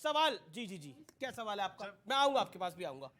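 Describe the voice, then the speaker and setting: Very high-pitched male news debate host. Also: sing-song, quick pace, fairly bright tone. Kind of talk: moderating live news debate.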